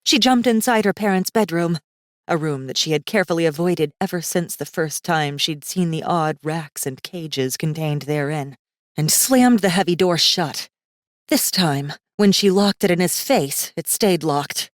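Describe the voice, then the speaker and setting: Woman, lowish pitch, dramatic audiobook narration, suspenseful, tense storytelling, dry studio sound. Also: unhurried, no echo. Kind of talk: dramatic scripted fantasy narration.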